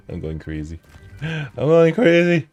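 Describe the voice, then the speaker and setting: A man's voice: on the high side, wildly swooping pitch, slow, a little mellow, highs cut off, soft background music. A man streaming a video game, casually commenting, joking to himself and singing or humming along. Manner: goofy, sings and hums along, talks to himself.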